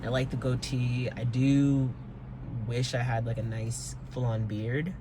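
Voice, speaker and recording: A man's voice: mid-range voice, flat delivery, a little slow. A young man talking in a rambling, hesitant way, with many fillers and casual swearing, recording a personal vlog from inside his car. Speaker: vlogger. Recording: car noise in background.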